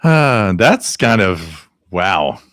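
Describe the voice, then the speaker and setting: A man's voice: deep voice, big pitch swings, extremely slow, a bit bright, no echo, studio quality. A man hosting a live shortwave radio stream, joking, laughing often and reading out frequencies. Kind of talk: casual live-stream chat.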